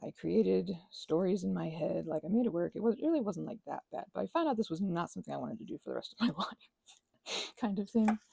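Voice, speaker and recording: A woman's voice: on the low side, animated, fairly quick, vocal fry, mellow, breathy edge, dry recording. A woman in a casual, rambling chat, telling stories in a meandering, self-deprecating way with little acted-out asides. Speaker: host of a crafting ramble and ASMR channel.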